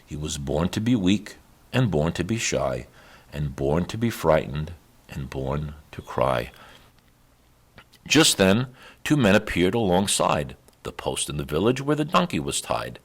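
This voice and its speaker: A man reading a rhymed children's story aloud as a storyteller, with measured, expressive rhythm. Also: very deep voice, husky. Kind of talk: children's read-aloud, rhymed scripted narration.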